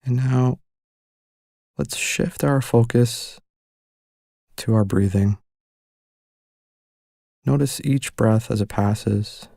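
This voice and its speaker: A man leading a guided meditation, giving calm, gentle instructions with unhurried pauses. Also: mid-range voice, gravelly, dry recording, clean studio sound.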